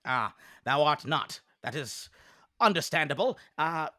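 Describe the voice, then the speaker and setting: Man voicing a jovial forest being, archaic formal speech, bright, lively pitch. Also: unhurried, dry recording, studio quality.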